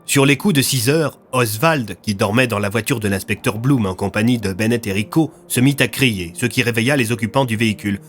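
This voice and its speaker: A man with a fairly low voice narrates a scripted audio fiction. He tells the story as its narrator, with soft music running underneath. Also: a little fast, few pauses.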